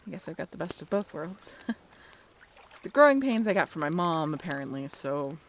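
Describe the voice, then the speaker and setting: A young woman playing a friendly half-mermaid in an intimate audio roleplay, with a creaky voice and a casual, halting, wry and bashful way of talking. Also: low-pitched, big pitch swings, a little hiss or hum. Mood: wry, amused. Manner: casual, halting, softly self-deprecating. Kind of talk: intimate audio roleplay, one-sided conversation.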